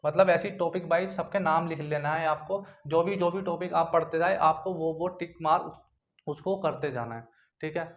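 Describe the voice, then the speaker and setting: A man's voice: a little high, moderate pace. A young man giving informal study instructions to viewers, repeating himself and often adding little check-in tags. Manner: repetitive, frequently checks in with tag phrases. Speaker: exam-preparation tutor. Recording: dull lo-fi sound.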